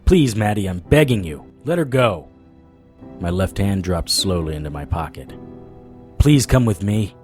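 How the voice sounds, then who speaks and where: A man's voice: low-pitched, lively intonation, a little slow, darkish tone, faint music behind. A man playing a haunted, dogged supernatural investigator, telling his story in first person in a hard-boiled audio drama style. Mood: pleading, defiant, then grim, narrating.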